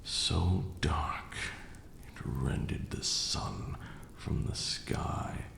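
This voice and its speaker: A man playing an ancient vampire lord, cruel yet grieving, who delivers a brooding, theatrical ASMR roleplay monologue in a gravelly, breathy murmur. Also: extremely low pitch, reverberant, a little hiss or hum, heavily acted. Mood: menacing, dark.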